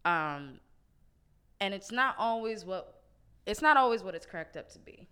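A woman's voice: mid-range voice, animated, a little slow, pushed and bright, smooth. A woman hosting a casual podcast chat, laid-back, swearing casually and laughing often. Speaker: podcast host.

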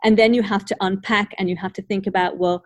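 A woman who grew up in Ireland, hosting an academic conversation in a thoughtful, measured way with frequent hedges. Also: mid-range voice, steady tone, no echo. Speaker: podcast host.

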